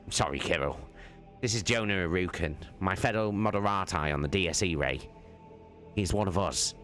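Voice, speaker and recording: A man voicing an earnest, devout male starship crewman in a hushed dramatic audiobook reading. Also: low voice, normal speed, faint music behind. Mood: apologetic.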